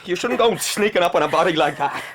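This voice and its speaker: An actor playing a bold, defiant young gang member in an audio drama, who can turn tender. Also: male voice, high-pitched, animated, fast, fairly bright tone, slight background noise. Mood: tender, pleading.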